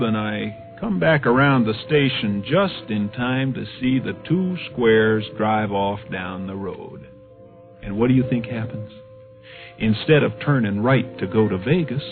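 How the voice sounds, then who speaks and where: A man's voice: lowish voice, gravelly. An actor playing a cool young male beatnik drifter who narrates in laid-back jive slang, in a 1950s radio drama. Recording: tinny old radio broadcast.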